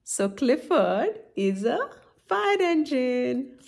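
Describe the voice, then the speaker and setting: A middle-aged woman reads a picture book to small children at an unhurried pace. Her slightly husky voice swings widely in pitch. She explains things simply and keeps putting questions to the listeners. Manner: expressive, instructive, addresses children with frequent questions. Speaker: children's story-time host. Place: indoor room at home, by a window.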